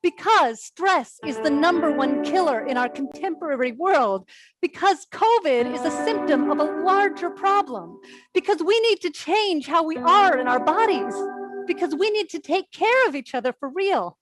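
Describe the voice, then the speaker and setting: An actress in a staged play reading, playing an earnest, anxious woman giving a presentation in a deliberate, emphatic manner. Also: extremely high pitch, animated, over music. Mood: impassioned.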